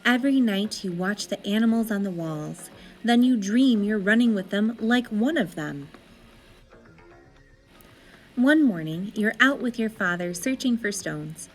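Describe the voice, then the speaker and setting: A woman reading a children's story aloud as an expressive narrator, with deliberate, dramatic phrasing. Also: medium pitch, soft background music.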